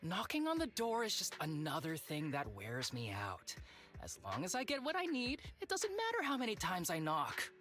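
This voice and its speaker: A man's voice: sits high, wildly swooping pitch, normal speed, fairly bright tone, faint music behind, exaggerated voice. A man voicing a laid-back, teasing young executive officer in a video game, languid and theatrical. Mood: languid, playful.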